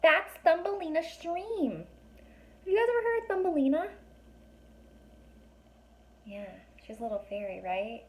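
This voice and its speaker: A woman reading a children's story aloud, pausing to ask young listeners questions and explain things in a chatty way. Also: fairly high pitch, big pitch swings, a little mellow. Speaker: teacher or reader reading a children's book aloud.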